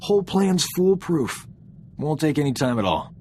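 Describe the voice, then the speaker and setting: A man's voice: fairly high pitch, big pitch swings, normal speed, mellow, slight background noise. An actor playing a roguish, flippant combat medic and ladies' man in a video game. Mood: cocky, flippant. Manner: glib, breezy, self-satisfied, flippant.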